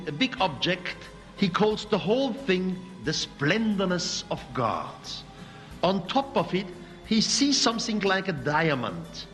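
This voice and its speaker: A man's voice: a little high, a bit bright. A man whose first language is Swiss German, giving a lecture in accented, searching English with occasional slips. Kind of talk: public lecture. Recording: hall recording with faint music.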